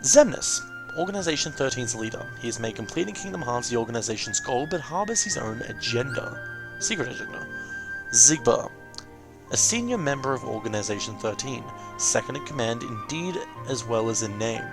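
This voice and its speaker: A man giving casual, rambling game commentary as a let's play host, informal and often correcting himself mid-sentence, with a creaky voice. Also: medium pitch, lively intonation, moderate pace, over music, rough recording.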